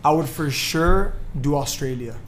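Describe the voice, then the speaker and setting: Loud, teasing young man cursing freely. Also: fairly high pitch, unhurried, a little hiss or hum. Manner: loud, profane, teasing storyteller. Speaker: podcast host. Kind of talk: rowdy casual chat among friends.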